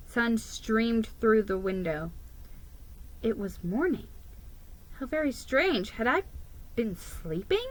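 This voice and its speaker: Woman doing a dramatic kids story reading, bright voice kinda high, theatrical. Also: low-quality recording. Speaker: storybook reader.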